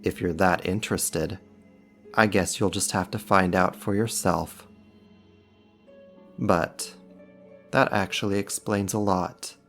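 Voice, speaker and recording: Casting: man as powerful demon for audio roleplay, low-pitched, slow delivery, taunting mock courtesy. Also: fairly even intonation, a bit bright, faint music behind, full character voice. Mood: amused, ominous. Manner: sarcastic, teasing, drawn-out mock politeness. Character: powerful male demon, sly, mocking, condescending. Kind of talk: ASMR roleplay, slow scripted monologue to the listener.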